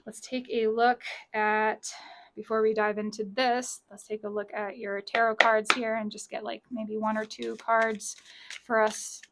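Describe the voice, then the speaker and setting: A woman with a slightly high, raspy and scratchy voice talks through a tarot spread from her small office. She chats casually, corrects herself mid-sentence and repeats the lines that matter. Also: little pitch movement, measured pace. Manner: chatty, self-correcting, repeats key lines. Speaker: tarot reader. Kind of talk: casual pick-a-card tarot reading.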